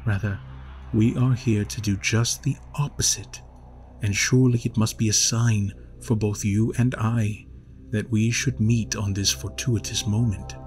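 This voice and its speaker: A man voicing a kindly yet sinister high priest in a storybook reading, speaking softly and in a measured, coaxing way with a low, smooth voice. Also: steady tone, soft background music, close to an everyday voice. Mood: persuasive. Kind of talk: storybook narration.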